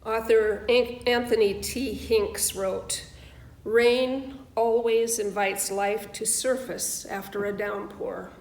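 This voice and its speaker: A woman minister giving an offertory reading with deliberate, solemn phrasing and pauses. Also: mid-range voice, fairly even intonation, a little mellow. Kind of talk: offertory reading in church service. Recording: echoey room.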